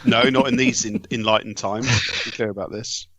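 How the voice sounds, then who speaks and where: A man's voice: on the low side, wildly swooping pitch, moderate pace, vocal fry, fairly bright tone, no room sound. British guy on a tech podcast, long wry sentences, kinda dull dry audio. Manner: wordy, wry, formal phrasing. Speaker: podcast reviewer. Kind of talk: podcast discussion of home tech.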